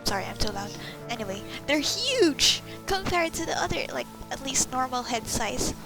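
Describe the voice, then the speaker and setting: A woman gives off-the-cuff gameplay commentary. Her voice is high with plenty of creak, and she is informal and reactive, with game music playing behind her. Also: very animated, normal speed. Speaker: video game player.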